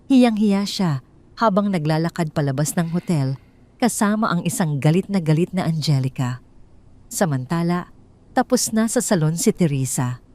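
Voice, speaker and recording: A woman's voice: lowish voice, expressive, normal speed, darkish tone. A woman with a Filipino accent narrating a scripted dramatic story.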